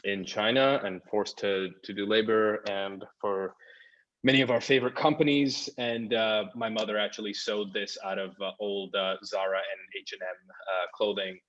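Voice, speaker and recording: A man's voice: on the low side, steady tone, normal speed, a bit bright, dull audio. A man who grew up in Saudi Arabia, an actor talking casually on a panel in a joking, earnest way with lots of fillers. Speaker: actor on the show.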